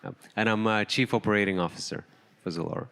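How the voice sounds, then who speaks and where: Low, smooth-voiced male panelist. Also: a bit bright. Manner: measured, filler-heavy. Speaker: panelist, chief operating officer. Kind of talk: conference panel talk. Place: conference stage.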